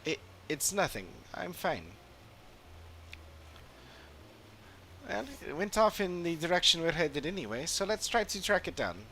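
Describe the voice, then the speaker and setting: A man voicing earnest, analytical fantasy adventurers from a video game in measured, formal phrasing. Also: fairly high pitch, sing-song, bright, forceful tone, a little hiss or hum, pitched above the performer's own voice, full character voice. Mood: alert, concerned. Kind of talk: dramatic read-aloud of game dialogue.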